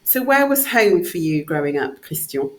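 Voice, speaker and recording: A woman's voice: lowish voice, very animated, moderate pace, poor audio. A woman hosting a podcast, reading a polished introduction and asking interview questions. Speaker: podcast host.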